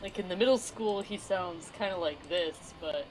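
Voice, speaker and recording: A woman's voice: lowish voice, normal speed, slight background noise, rough recording. A woman chatting on a live stream, hesitant and leaning heavily on "like" as she explains things. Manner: hesitant, repeats "like" a lot. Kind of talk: casual live stream chat. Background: background music.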